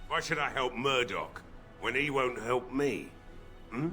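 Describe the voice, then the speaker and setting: A bright-toned, bitter drunk blacksmith. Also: male voice, medium pitch, measured pace, faint music behind. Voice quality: bitter, weary, slurring drink-talk. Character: grieving drunk village blacksmith, middle-aged man. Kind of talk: video game voice acting.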